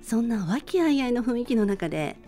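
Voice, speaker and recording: A woman hosts a relaxed bedtime radio programme in a fairly high voice. Her intonation rises and falls in a very lively way as she addresses listeners politely and gently. Music plays faintly behind her. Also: brisk. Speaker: radio show host.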